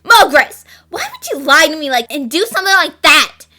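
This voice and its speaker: Woman acting shrill, melodramatic young fiancée. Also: sing-song, normal speed, bright, forceful tone, heavily acted. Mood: indignant. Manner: wailing, overdramatic. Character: melodramatic, tearful, indignant young bride-to-be. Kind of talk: animated fan-dub acting.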